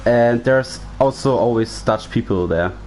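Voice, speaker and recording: A man's voice: medium pitch, a little slow, a little mellow, a little hiss or hum. A man whose first language is German, leading a sim racing commentary in English in a chatty way and asking his co-host questions. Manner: chatty, steers the talk with questions. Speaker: lead commentator. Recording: faint music in the background.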